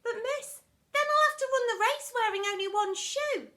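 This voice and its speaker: A woman's voice: extremely high pitch, normal speed, bright, forceful tone, pitched above the performer's own voice. A woman voicing a plaintive young schoolchild in a storybook, whining and pleading in protest. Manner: whiny, pleading. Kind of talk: storybook character voice in read-aloud.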